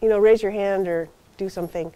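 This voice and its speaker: A soft-spoken older woman gives a guest lecture from a podium in a lecture hall. She explains things patiently, checking in with the audience with frequent okays and ums. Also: mid-range voice, steady tone, fairly quick, dull audio. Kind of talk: university seminar talk.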